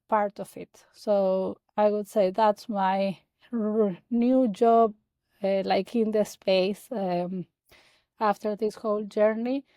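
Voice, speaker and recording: Woman from chile, lots of uh and restarts, mellow tone, dry sound. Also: mid-range voice, dry recording. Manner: halting, many fillers and restarts. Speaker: guest, data analyst. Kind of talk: casual interview talk.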